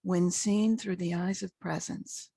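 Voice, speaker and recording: Female meditation guide: very slow, measured delivery with long pauses, heavy vocal fry. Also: fairly even intonation, warm tone, no echo. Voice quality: husky, gravelly low voice. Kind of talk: guided meditation, unscripted spiritual talk.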